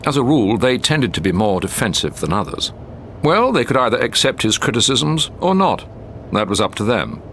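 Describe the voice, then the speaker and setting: A British man reading a short story as an audiobook narrator, in a measured, expressive storytelling manner. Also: medium pitch, a little hiss or hum.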